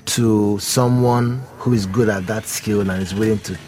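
A young man from Nigeria with a deep voice answers questions as a podcast guest. He talks thoughtfully, pausing and restarting as he goes. Faint music hums quietly in the background. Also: steady tone. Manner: thoughtful, hesitant, with fillers and restarts. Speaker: guest, company founder. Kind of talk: podcast interview.

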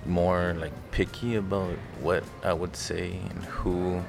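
An eighteen-year-old man, a student, speaking quietly and with reserve outdoors on campus. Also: sits low, level tone, slow, creaky, music in the background. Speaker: student interviewee.

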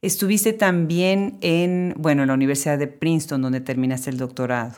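A woman's voice: low voice, moderate pace, darkish tone. A woman hosting a podcast interview, reading a formal introduction and then chatting in a friendly way. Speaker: podcast host.

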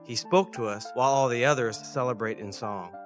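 A man's voice: medium pitch, a little fast, soft background music, lightly acted. A man voicing an amazed shepherd recounting a story in rhyme. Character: wondering shepherd telling of an angel.

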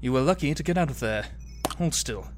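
A man's voice: mid-range voice, expressive, brisk, noisy background, lightly acted. A man playing a composed ship's doctor in an audio drama, instructing in a matter-of-fact way. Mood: composed, businesslike. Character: composed male ship's doctor tending a patient.

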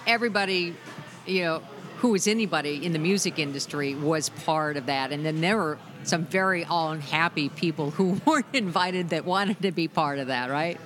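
Deep-voiced middle-aged woman, rambling chat. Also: moderate pace, crowd noise. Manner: rambling, many restarts and "you know". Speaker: musician guest. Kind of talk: casual interview chat.

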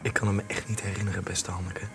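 A man's voice: low voice, level tone, fast talker, gravelly, music in the background. An actor playing an indignant young male journalist in a radio play, articulate and reproachful. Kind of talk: radio play acting in Dutch.

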